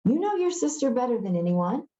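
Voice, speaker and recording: A woman's voice: on the high side, big pitch swings, a little fast, warm tone, roomy sound, muffled recording. A woman reading the part of a cool, reluctant middle-school boy schemer in a storybook, terse and understated. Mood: dismissive, firm.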